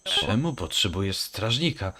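A man playing a cold, domineering scientist mentor in an animated fan dub, speaking in clipped, contemptuous commands. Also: on the low side, unhurried, full character voice. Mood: curt, probing. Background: music.

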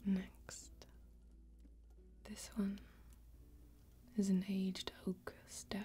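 A young woman acts out an inviting fantasy tavern keeper in an ASMR roleplay. She speaks in a soft, breathy whisper and takes her time over every phrase. Her delivery stays level and soothing, with very little rise or fall. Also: medium pitch, monotone. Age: young adult. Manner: slow, deliberate, lingering phrasing.

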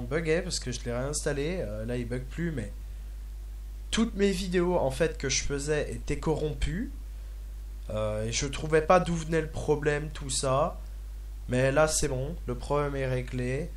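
A slightly hoarse young man hosting a casual video game let's play, hesitant and full of filler sounds. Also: fairly high pitch. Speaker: gaming video host.

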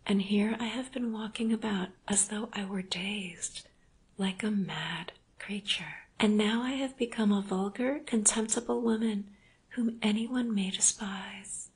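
A woman's voice: medium pitch, unhurried, natural voice. A woman reading an audiobook, voicing a remorseful young married woman in an emotional, pleading way. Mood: anguished. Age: young adult. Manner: pleading, emotional, breathless urgency. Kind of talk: audiobook character voice.

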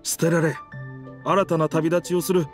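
A man voicing a stranded talking boat with a sailor's spirit, rough in phrasing, hearty and wistful, in a Japanese anime game. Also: a little high, little pitch movement, normal speed, faint music behind, natural voice. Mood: wistful, regretful.

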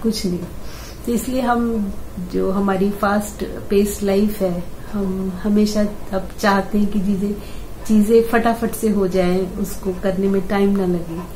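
An older woman with a hoarse throat hosts a casual home-remedy tutorial, chatty and unhurried, pausing often and clearing her throat. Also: mid-range voice, warm tone. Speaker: host. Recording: echoey room with faint ambient noise.

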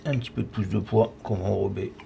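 Low-voiced chef in a noisy kitchen. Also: male voice, fairly even intonation, fast. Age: middle-aged. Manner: informal, talking while working with his hands. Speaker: chef demonstrating a recipe. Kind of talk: casual step-by-step cooking demonstration. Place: professional restaurant kitchen, tiled, indoors. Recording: clearly noisy kitchen with clattering dishes. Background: loud kitchen ambience, clattering pans and cutlery.

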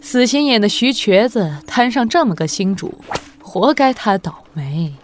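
An actress playing a shrewd, worldly, imperious woman sovereign in a martial-arts audio drama, with deliberate, sardonic delivery. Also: a little high, animated, slight background noise. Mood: scornful, shrewd. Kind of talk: Chinese wuxia audio drama acting.

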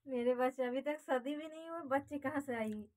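A woman's voice: sits high, brisk, a little mellow. A young adult woman with an Indian accent, talking casually on a phone call from a small room at home. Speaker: prank caller.

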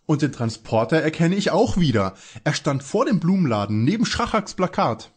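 A man playing an anxious teenage boy detective in a parody audio drama, with a strained husky voice. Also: fairly high pitch, sing-song, normal speed. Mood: earnest, skeptical.

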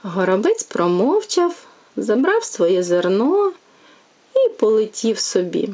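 A woman storyteller reading a fairy tale to a small child, gently and expressively, speaking directly to the young listener. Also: mid-range voice, big pitch swings, takes it slowly.

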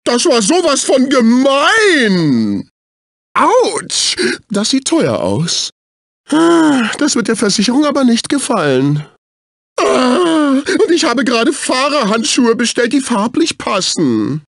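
A man's voice: very high-pitched, huge pitch swings, slow pace, vocal fry, fairly bright tone, no echo, studio quality, heavily acted. A male actor voicing a fussy, snobbish, servile executive assistant in a dubbed cartoon video game, with a prissy, condescending, exclamatory delivery. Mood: distressed, whiny. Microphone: close studio microphone.

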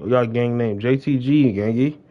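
A young American man chats casually as he streams a game. His voice sits at a middling pitch and his talk is slangy, looping back on the same phrases. The mic sounds slightly dull and lo-fi. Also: normal speed. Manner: slangy, repetitive greetings to viewers. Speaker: livestreamer. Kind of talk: casual gaming livestream chat.

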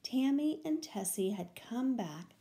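An older woman reading a children's picture book aloud in a deliberate, expressive way, stressing key words. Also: on the high side, reverberant. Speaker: read-aloud storyteller. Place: small room at home, indoors.

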